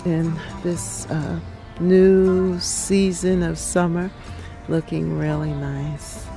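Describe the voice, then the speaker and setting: A woman with a lowish, mellow voice strolls through her garden outdoors, speaking slowly and thoughtfully. Her casual tour wanders with hesitations and fillers, and there is faint music beneath her voice. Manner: relaxed, reflective, hesitant with many ums. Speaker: garden channel host.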